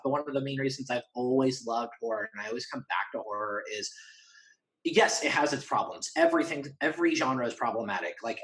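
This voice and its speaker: A man's voice: mid-range voice, a bit bright, poor audio. Enthusiastic, rambling man chatting casually. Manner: enthusiastic, rambling, acts out imagined dialogue. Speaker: interview guest, horror fan and writer. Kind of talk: casual conversational chat.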